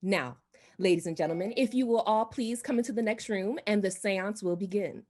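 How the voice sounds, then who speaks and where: A woman's voice: mid-range voice, moderate pace, a little mellow, lightly acted. A woman playing a gushing, self-important society hostess and would-be medium in a radio drama, speaking in a grand, theatrical manner. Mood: grand, commanding.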